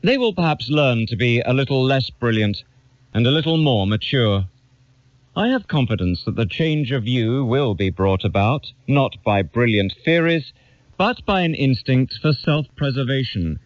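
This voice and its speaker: A man reading an essay as a scripted audiobook narration, in a measured, deliberate and formal manner. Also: medium pitch, animated, no room sound. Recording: dull lo-fi radio capture.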